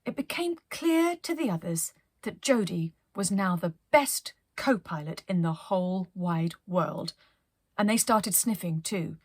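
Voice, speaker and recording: A woman narrating a children's story as a storyteller, in an expressive read-aloud style. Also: lowish voice, a little slow, no room sound.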